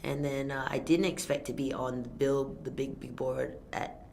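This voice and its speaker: Low-voiced woman telling stories with laughs. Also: normal speed, vocal fry, slight background noise. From Thailand. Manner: laughing, animated storytelling. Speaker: fight event announcer. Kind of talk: casual interview chat.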